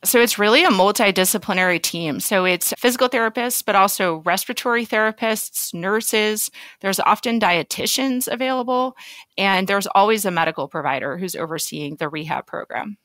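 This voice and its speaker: A woman's voice: mid-range voice, normal speed, fairly bright tone, dry recording. A woman speaking as a physician guest on a podcast, explaining things in an articulate way.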